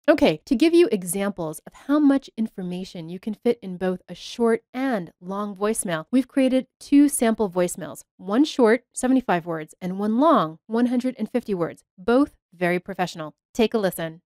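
A woman voice-over artist giving a scripted, clear and measured explanation of how to record business voicemail greetings. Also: mid-range voice, animated, darkish tone, dry recording, clean studio sound. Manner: clear, measured, professional delivery. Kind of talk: scripted instructional narration.

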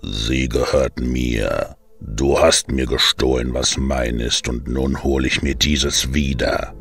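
Wrathful, extremely deep-voiced underworld god. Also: male voice, steady tone, creaky, a bit bright, slightly rough, exaggerated voice. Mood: angry, threatening. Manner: slow, drawn-out, imperious threats. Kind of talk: audio drama character. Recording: music under the voice.

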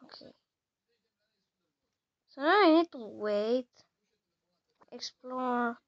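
High-voiced young woman gaming. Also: animated, lo-fi sound. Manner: halting, talks to herself while playing. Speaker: gamer playing a horror game. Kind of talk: casual let's-play commentary.

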